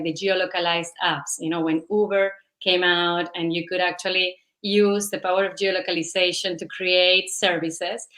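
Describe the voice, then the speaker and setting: A woman's voice: fairly low pitch, fairly bright tone, rough recording. A woman, a company founder answering interview questions in a rambling, enthusiastic way. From Venezuela and Spain. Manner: rambling, enthusiastic, many fillers.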